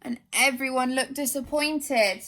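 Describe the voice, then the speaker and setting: A woman reading a storybook expressively to young children, animated, with questioning asides. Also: high voice, a little slow.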